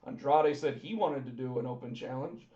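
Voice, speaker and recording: A man co-hosting a casual wrestling podcast, talking excitedly and repeating himself, with his voice going hoarse. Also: medium pitch, normal speed. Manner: excited, talkative, repeats words when starting. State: losing his voice. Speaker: podcast co-host. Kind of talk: casual chat about pro wrestling. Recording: roomy, dull lo-fi sound.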